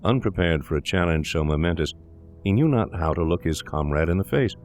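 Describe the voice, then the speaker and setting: A man's voice: deep voice, lively intonation, fairly quick. A man reading scripted literary narration as the narrator of a gothic tale. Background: eerie ambience.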